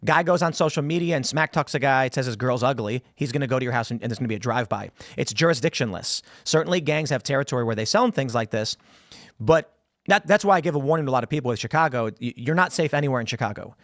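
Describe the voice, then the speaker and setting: A man in his thirties hosting a political talk show in a studio, telling stories animatedly with frequent restarts, on a boom-arm studio mic. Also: quick pace, no echo. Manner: animated storytelling with many restarts and gestures. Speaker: podcast host. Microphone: studio mic on boom arm, headphones.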